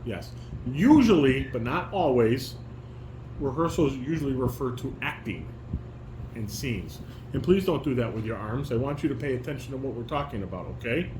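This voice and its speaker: A man's voice: mid-range voice, lively intonation, moderate pace. Male, instructive dad talking to a child, firm and quizzing, roomy echo with noise behind. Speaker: father leading a family table read. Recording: echoey room, background noise.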